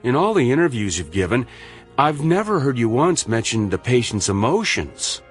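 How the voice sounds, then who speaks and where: Sardonic, moralising medical colleague, lively varied intonation, probing delivery, radio drama, faint music. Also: male voice, medium pitch, moderate pace, breathy edge. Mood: earnest, pleading. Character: earnest, challenging male colleague of a doctor.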